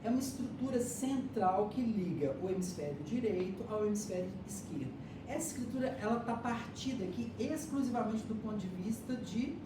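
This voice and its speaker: A woman's voice: mid-range voice, animated, normal speed. A woman giving a classroom lecture, explaining step by step and checking that her students follow. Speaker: lecturer teaching hypnosis and neuroscience. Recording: echoey room, distant mic, audible noise.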